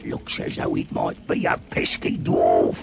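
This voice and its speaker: An actor playing a dim, argumentative troll in a radio drama. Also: male voice, measured pace, very noisy, natural voice. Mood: musing. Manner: blunt, grumbling, argumentative. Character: dim quarrelsome male troll with a soft streak.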